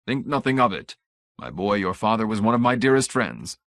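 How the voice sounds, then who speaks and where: A man's voice: on the low side, big pitch swings, a little fast, fairly bright tone, no room sound, studio quality. A man voicing a fussy, pompous old research director in an audiobook, idealistic and grand in manner but timid. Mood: relieved, genial.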